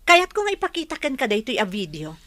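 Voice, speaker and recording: A frank young sweetheart in a radio soap, with a sharp, bright voice on the high side. Her delivery is very lively and pointed. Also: quick pace, slight background noise, full character voice. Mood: reproachful. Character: frank young woman being courted, reproachful.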